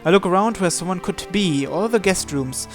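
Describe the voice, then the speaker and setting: A man's voice: sits high, expressive, normal speed, soft background music. A man hosting a visual novel playthrough, reading the first-person narration aloud.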